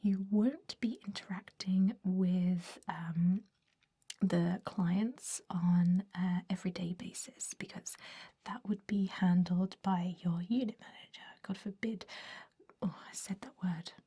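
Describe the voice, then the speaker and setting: A woman's voice: fairly low pitch, steady tone, takes it slowly, mellow, slightly rough, heavily acted. A woman playing a centuries-old, fussy vampire recruiter in an ASMR roleplay, whispering with a hesitant, politely dismissive manner. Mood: discouraging, matter-of-fact.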